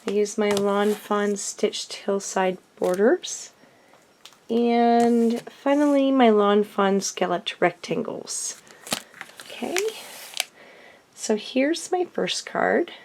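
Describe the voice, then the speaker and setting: Hoarse woman with a cold, slow chatty. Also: medium pitch, darkish tone, a little hiss or hum. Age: adult. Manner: chatty, with frequent ums and self-corrections. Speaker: card-making vlogger. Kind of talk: casual craft show-and-tell.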